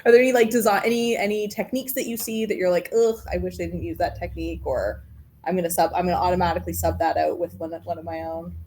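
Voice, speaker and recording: A woman's voice: lowish voice, steady tone, fast, a little mellow. A woman hosting a casual live-stream chat in a yarn shop, asking playful questions and laughing often. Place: yarn shop with a small gathering.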